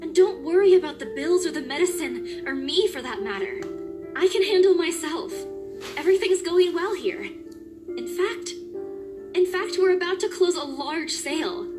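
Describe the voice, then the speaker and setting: A woman's voice: very high-pitched, animated, moderate pace. A performer voicing an anxious young woman working as a real estate agent, earnest and easily flustered, in a game reading. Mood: reassuring. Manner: earnest, flustered, tender with family. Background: game music.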